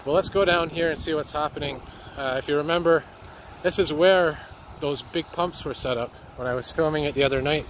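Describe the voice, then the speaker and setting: A man, slightly hoarse from a cold, narrating as he walks by a flooded river with a handheld camera. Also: on the high side, fairly quick, rough recording. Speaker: citizen video reporter. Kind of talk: walking commentary. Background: street and water noise.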